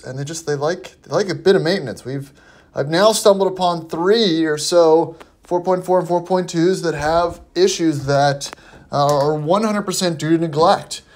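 A man hosting a car review video, talking casually and off the cuff as he thinks aloud. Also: on the high side. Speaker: host of a car auction review channel. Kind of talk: casual unscripted commentary.

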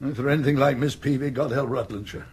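A man's voice: mid-range voice, steady tone, fast, darkish tone, a little hiss or hum, natural voice. An actor playing a forgetful, grumbling old upper-class English earl in a radio comedy, blustering and muddled as he repeats himself. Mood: querulous, blustering. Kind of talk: radio comedy drama acting.

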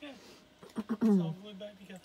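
A man's voice: high-pitched, fast talker, mellow. A man in his forties with an American accent, talking casually as he explains a home plumbing repair step by step, recorded on a phone in a cramped utility room. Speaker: homesteader fixing plumbing. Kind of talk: casual chat. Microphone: phone camera held by another person.

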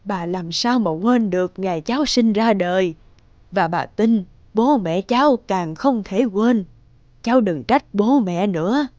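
A woman playing a gentle old grandmother in an animated drama, patiently consoling and admonishing. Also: medium pitch, unhurried, no room sound, lo-fi sound, natural voice. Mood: gently reproving.

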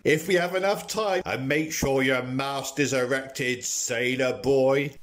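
A man's voice: medium pitch, fairly even intonation, a little slow, lightly acted. A man playing a saucy, motherly shopkeeper dame in a radio pantomime, cheeky and theatrical.

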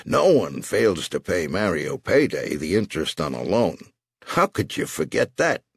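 A man's voice: deep voice, very animated, moderate pace, gravelly, slightly rough, no echo, heavily acted. A man voicing a menacing gangster loan shark in an audiobook, taunting with theatrical mock courtesy. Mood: taunting menace. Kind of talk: audiobook character voice.

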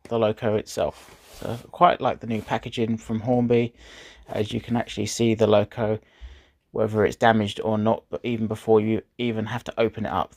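Gravelly non-native English-speaking man. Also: fairly low pitch, darkish tone, no echo, clean studio sound. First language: not English. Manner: chatty, rambling, self-correcting. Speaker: model train reviewer. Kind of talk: casual unboxing narration.

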